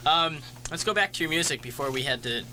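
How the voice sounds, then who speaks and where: Very bright-voiced easygoing radio host man. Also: fairly high pitch, expressive, fast. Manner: easygoing, laughs along, agreeable. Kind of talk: casual radio interview. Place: campus radio studio.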